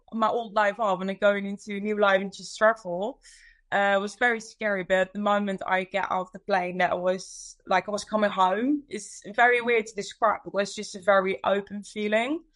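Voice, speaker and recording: A woman's voice: mid-range voice, level tone, normal speed, fairly bright tone. A young woman from the Netherlands with a Dutch accent, chatting casually as a guest in an interview. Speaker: podcast guest, women's coach.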